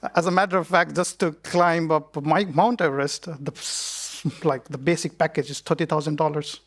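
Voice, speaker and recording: Young man, from Nepal, conference guest, laughs often, relaxed, slightly high pitch. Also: normal speed. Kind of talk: live stage conversation. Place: conference stage.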